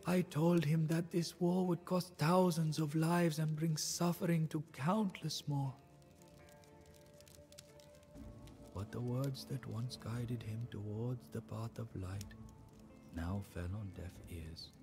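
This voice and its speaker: An actor playing a sorrowful, devout adviser to an Indian emperor, narrating the story in a solemn, measured, theatrical way for a game. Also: male voice, fairly high pitch, darkish tone, soft background music. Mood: anguished, pleading. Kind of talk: dramatic scripted storytelling narration.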